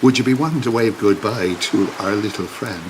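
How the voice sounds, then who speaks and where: An actor playing a wry, brave priest in a video game, with an Irish accent and a dry, folksy, unflappable manner. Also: male voice, lowish voice, a little fast, lightly acted. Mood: wry, calm. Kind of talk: video game cutscene acting. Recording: noisy, with rain behind.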